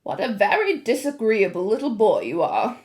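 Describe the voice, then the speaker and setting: A woman voicing a firm, affectionate old grandmother in a storybook, speaking in a measured, emphatic way. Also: medium pitch, huge pitch swings, a little mellow. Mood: brisk, emphatic.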